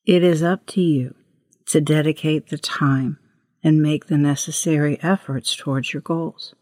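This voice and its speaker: A woman leading a guided meditation as host, with a hushed, breathy voice and gentle, unhurried instructions. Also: deep voice, steady tone, dry recording, studio quality. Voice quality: breathy, husky, often near whisper.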